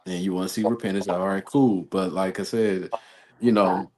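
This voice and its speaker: A man's voice: low voice, steady tone, moderate pace, dark tone. An American man in a casual talk-show chat, rambling through a personal story as host. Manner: rambling, storytelling with quoted exchanges.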